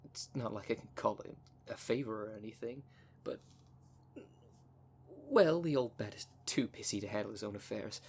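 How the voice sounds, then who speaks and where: A man's voice: lowish voice, normal speed, a little mellow, slight background noise, natural voice. A man voicing a vain, flirtatious, snobbish werecat socialite in a scripted roleplay spoken to the listener, with a pompous, haughty manner. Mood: boastful, flirtatious. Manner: pompous, haughty, smooth-talking. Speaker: voice actor in audio roleplay.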